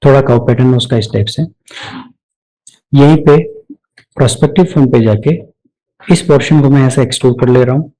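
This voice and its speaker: A man with a dark, soft-edged voice walks through software steps as an instructor. He speaks at a middling pitch, explaining off the cuff and dropping in English technical terms as he goes. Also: a little fast, long pauses, rough recording. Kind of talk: step-by-step screen tutorial, spoken off the cuff.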